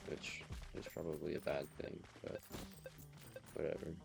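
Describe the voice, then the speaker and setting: Very deep gravelly monotone young man. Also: moderate pace. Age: young adult. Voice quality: gravelly low voice. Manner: monotonous, flat delivery. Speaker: gamer vlogger. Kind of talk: casual gameplay commentary. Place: home room. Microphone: desk mic with noise suppression. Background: loud game music and gunfire.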